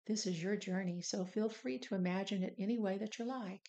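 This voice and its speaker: Mellow-voiced woman guiding a meditation. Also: medium pitch, lo-fi sound. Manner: soothing, deliberate instructing. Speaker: meditation guide. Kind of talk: guided meditation narration.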